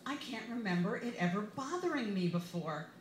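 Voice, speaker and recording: An American woman, an author giving an expressive live reading of her memoir in a wry manner. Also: medium pitch, moderate pace. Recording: roomy, echoey bar with background noise.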